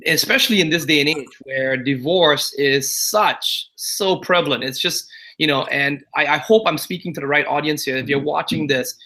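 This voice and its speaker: A man in his forties, originally from Singapore, speaks as an interview guest with a bright, forward voice pitched a little high. He talks in a loose, confiding way, stacking "you know" and "right?" as he goes. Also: normal speed, poor audio. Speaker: guest entrepreneur. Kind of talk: casual interview talk.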